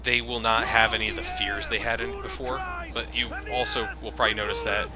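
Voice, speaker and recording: A man's voice: lowish voice, little pitch movement, a little fast. A man casually commentating a video game, chatty and explanatory. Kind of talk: casual let's-play chat. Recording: commentary over loud game music.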